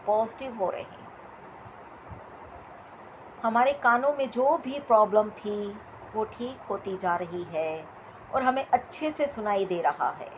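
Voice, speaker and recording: Slow, higher-pitched woman guiding meditation. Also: slight background noise, poor audio. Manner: soothing, repetitive, unhurried phrasing with pauses. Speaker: guided meditation instructor. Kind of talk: guided meditation, slow spoken instructions.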